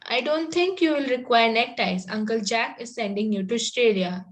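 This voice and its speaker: A woman's voice: a little high, normal speed, natural voice. A woman reading an earnest, precocious young Victorian lady in a comedy table read, formal with some stumbles over the script. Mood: matter-of-fact.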